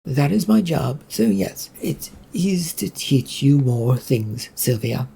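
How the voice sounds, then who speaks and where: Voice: mid-range voice, animated, slow, vocal fry, dark tone, breathy edge, exaggerated voice. A storyteller voicing a composed, matter-of-fact woman teacher with a dry, unruffled manner.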